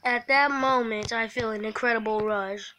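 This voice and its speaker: A woman livestreamer reading a visual novel aloud, haltingly, breaking off for casual offhand asides. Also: a little high, fairly even intonation, pushed and bright. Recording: noisy mobile stream with clicks.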